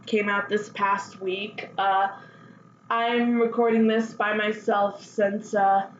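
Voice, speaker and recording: A woman hosting a fan news show solo, chatting casually with gushing, excitable enthusiasm. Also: mid-range voice, slow. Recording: dull lo-fi sound, roomy echo, faint noise.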